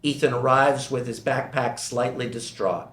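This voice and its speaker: A man's voice: medium pitch, fairly even intonation, moderate pace, a bit bright. Echoey male screenplay narrator. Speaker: narrator reading stage directions. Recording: roomy echoing table-read recording.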